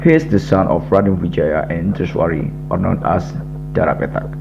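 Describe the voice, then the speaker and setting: A man's voice: low voice, steady tone, fairly quick, gravelly. A young man giving scripted historical narration as the narrator of a radio drama. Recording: dull lo-fi, music behind.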